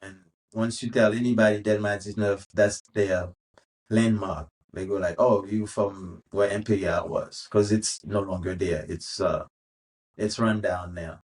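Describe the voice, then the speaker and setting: Haitian-accented man in his fifties. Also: lowish voice. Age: 50s. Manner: relaxed, unhurried storytelling with many pauses. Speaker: guest. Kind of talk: casual interview storytelling.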